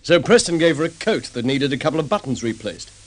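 An actor playing a calm, methodical detective sergeant laying out a case in an old radio drama. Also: male voice, on the high side. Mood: measured. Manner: measured explaining. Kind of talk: vintage radio drama acting.

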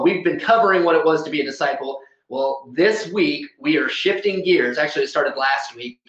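A man's voice: on the high side, a bit bright. A man in his thirties, a pastor giving church announcements to camera in an upbeat, emphatic, informal way. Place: small plain indoor room. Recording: roomy echo, slightly dull sound.

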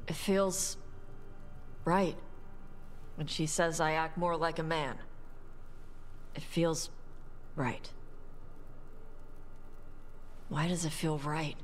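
Blunt horned warrior, low pitch, halting, unhurried, defensive, game dialogue. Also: female voice, steady tone, slight background noise. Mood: unsure, searching. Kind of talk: video game character dialogue.

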